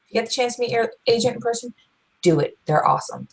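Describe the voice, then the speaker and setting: A young woman co-hosting a podcast, talking loud and fast in casual chat, full of restarts. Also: on the high side, animated, creaky, breathy edge, rough recording. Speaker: podcast co-host.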